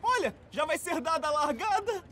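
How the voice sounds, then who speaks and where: A woman with a Brazilian accent voicing an excitable, big-hearted talking race car in a children's cartoon dub, with an exclamatory, dramatic manner. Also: very high-pitched, normal speed, noisy background. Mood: excited. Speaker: cartoon character.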